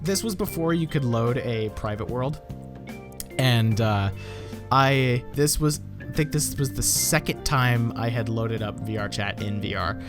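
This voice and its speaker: A man's voice: medium pitch, a little slow, music in the background. A young American man, a YouTuber, rambling and self-deprecating as he reacts to his old videos, swearing casually. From United States.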